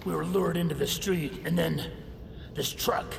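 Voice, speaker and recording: In a long, noisy reverberant hall, a cowed shadowmancer making excuses to his master. His voice is very gravelly and swings up and down as he haltingly defends himself. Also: on the high side, steady tone. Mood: shaken. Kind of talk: audio drama acting.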